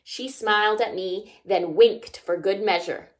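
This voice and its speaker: A woman reading a children's picture book aloud expressively, pausing to ask young listeners simple questions. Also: medium pitch. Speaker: children's story time reader.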